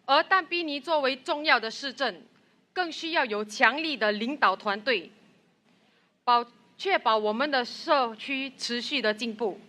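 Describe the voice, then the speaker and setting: A woman's voice: high voice, moderate pace, bright, forceful tone. A woman giving an earnest, rousing speech at a political rally. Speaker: rally speaker. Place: outdoor rally with loudspeakers.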